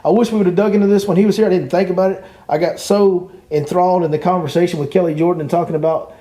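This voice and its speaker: Man, podcast host, mellow voice, fairly high pitch, emphatic, repetitive. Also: steady tone, very fast. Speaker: podcast host and fishing guide. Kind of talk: opinionated podcast chat.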